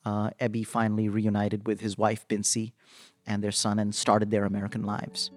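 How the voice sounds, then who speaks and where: A man recounting a story as an interview guest, speaking in a measured, halting way with pauses. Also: on the low side, completely flat delivery, dry recording. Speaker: interview guest, labor organizer.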